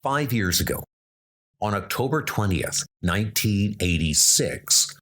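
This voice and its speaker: A man's voice: fairly low pitch, animated, a little slow, a bit bright, voice pushed down. A man playing a resolute, earnest armored hero in a comic book story, speaking in a declamatory way. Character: heroic armored male knight of post-apocalyptic Earth, earnest, resolute.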